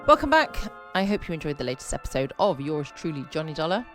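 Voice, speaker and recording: A woman chatting casually as host of a relaxed bedtime podcast. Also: low-pitched, quick pace. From United Kingdom. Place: at home, dry close sound. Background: faint music.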